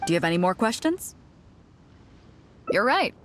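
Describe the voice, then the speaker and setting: A woman's voice: medium pitch, very animated, normal speed, fairly bright tone, slight background noise. An actress playing a confident, worldly pirate captain in a video game, assured and teasing as she explains things. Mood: confiding, amused. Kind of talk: voiced video game dialogue. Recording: music behind the dialogue.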